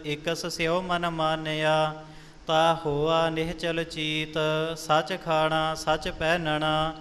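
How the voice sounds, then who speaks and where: A man slowly reciting Sikh scripture in a measured, melodic chant. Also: fairly high pitch, monotone, fairly bright tone. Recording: echoey hall with music underneath.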